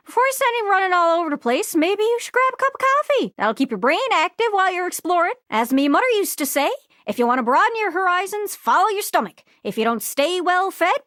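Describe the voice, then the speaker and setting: A woman voicing an excitable, scatterbrained globe character in a video game, with a folksy Newfoundland accent and a bubbly, exclamatory manner. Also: extremely high pitch, no room sound, studio quality, heavily acted. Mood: eager, helpful. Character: excitable, scatterbrained living globe longing to travel.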